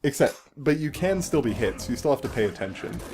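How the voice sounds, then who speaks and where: A man's voice: mid-range voice, big pitch swings, quick pace, a little mellow. Guy doing let's play commentary, explains stuff casually, bit sweary, game music behind him.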